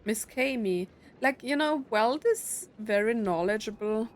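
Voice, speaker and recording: A woman's voice: fairly high pitch, lively intonation, measured pace, slight background noise. A woman doing casual playthrough commentary, reacting informally with short questions while game music plays behind her. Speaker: gaming streamer.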